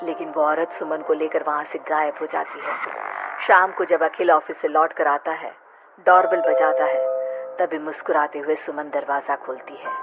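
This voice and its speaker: A woman's voice: low-pitched, steady tone, moderate pace. Muffled woman narrating a horror story. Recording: muffled audio with loud music bed.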